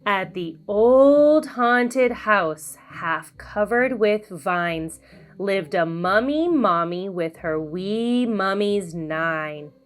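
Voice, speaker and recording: A woman hosting a children's read-aloud, telling a storybook in an expressive, sing-song way. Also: medium pitch, soft background music. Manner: animated, slow and deliberate sing-song delivery.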